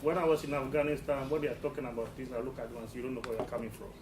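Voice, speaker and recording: A man's voice: mid-range voice, flat delivery, very fast. A man speaking earnestly from the audience at a press conference, repeating himself and restarting phrases. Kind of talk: spontaneous public comment. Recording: distant mic in an echoey hall with background noise.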